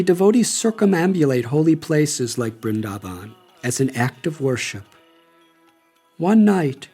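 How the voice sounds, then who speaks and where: Older guy reading his memoir, slow and thoughtful, kinda high voice, soft music under it. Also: husky. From United States. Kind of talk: audiobook narration.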